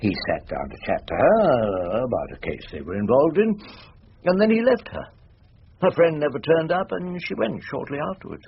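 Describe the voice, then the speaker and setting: A man's voice: mid-range voice, sing-song, normal speed, husky, dull audio. An actor playing a wily older British male barrister in a radio drama, with a wry, courtly, rhetorical manner. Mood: smoothly persuasive.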